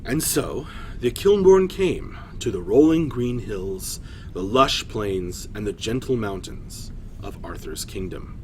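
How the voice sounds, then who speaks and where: A man narrating a scripted fantasy story dramatically, with deliberate pauses. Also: fairly low pitch, animated, darkish tone, a little hiss or hum, rough recording.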